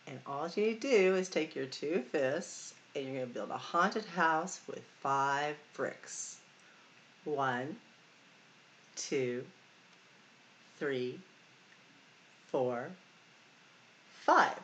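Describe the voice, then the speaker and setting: A middle-aged woman hosting a library storytime, reading picture books aloud in a deliberate, expressive way for young children. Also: sits low. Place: small room at home or office, indoors.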